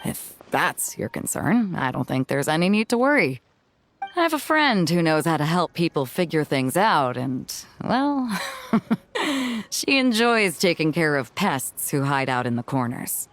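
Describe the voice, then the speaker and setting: A woman's voice: low-pitched, very animated, a little slow. A woman voicing a bold, easygoing sea captain in a video game, with a breezy, confident, conversational manner. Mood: amused, confident. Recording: music bed under the voice.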